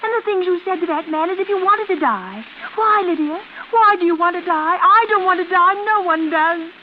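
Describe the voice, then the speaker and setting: A very high, girlish voice reaches us through a tinny, slightly noisy old radio recording. It is a fragile, unbalanced woman, childlike and plaintive, in a vintage suspense play. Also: animated, moderate pace. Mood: anxious pleading. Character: fragile, childlike, pleading mad twin sister.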